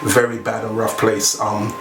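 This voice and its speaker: A man's voice: on the low side, flat delivery, unhurried. A man, a gospel singer telling his story on a podcast in a preachy, rhyming way. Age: 40s. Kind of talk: casual storytelling. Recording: echoey room, mic far off, noisy.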